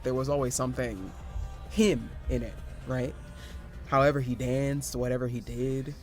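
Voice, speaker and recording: A man playing an excitable, shy young partygoer, recounting an eerie encounter in a halting, conversational audio-drama monologue. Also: fairly high pitch. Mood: fond, awed. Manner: animated, halting, dramatic pauses, self-interrupting.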